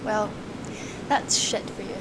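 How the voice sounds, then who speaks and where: A woman's voice: slow pace, close to an everyday voice. An actress in a naturalistic audio play, playing a reserved, resigned woman who answers in a hesitant, understated way. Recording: loud rumbling noise over voice.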